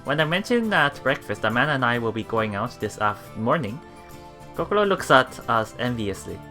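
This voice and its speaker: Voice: medium pitch, sing-song, normal speed, bright, forceful tone, faint music behind. A let's player reading visual novel text aloud and musing casually on it as they go. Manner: musing, often thinking aloud.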